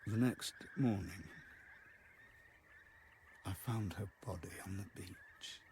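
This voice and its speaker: An actor playing a haunted, halting man who recalls a wartime childhood in a radio drama. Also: deep voice, warm tone, a little hiss or hum. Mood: sorrowful, bitter. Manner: halting, fragmented, hesitant delivery.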